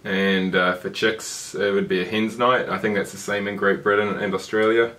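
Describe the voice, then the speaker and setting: A man's voice: on the low side, level tone, normal speed, a bit bright, far from the mic. A man from New Zealand with a New Zealand accent, giving short, dry, joking answers in a casual chat. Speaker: guest.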